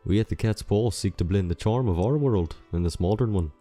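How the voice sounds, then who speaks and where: Man playing a mellow, courteous fantasy hotel clerk. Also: on the low side, fairly quick, lightly acted. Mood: polite, businesslike. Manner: formal, courteous, businesslike. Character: polite professional male tailed catfolk hotel clerk. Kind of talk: audiobook character dialogue. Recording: music under the voice.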